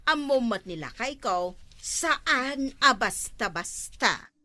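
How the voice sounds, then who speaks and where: An Ilocano woman acting a nosy, talkative wife in a comedy drama. Her voice is bright and fairly high, with lots of swing in the pitch. The sound is close and dry. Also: expressive, slow pace, dry recording. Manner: chatty, nosy and teasing. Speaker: gossiping wife and mother.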